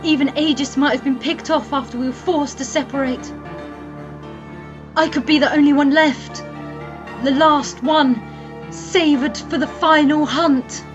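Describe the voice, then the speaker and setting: A woman playing a trapped human alchemist, narrating her own ordeal gravely and theatrically in a fantasy audio drama. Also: sits high, steady tone, measured pace. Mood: fearful, tense. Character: female human alchemist, trapped, wary and determined survivor. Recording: dull lo-fi sound, music underneath. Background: music.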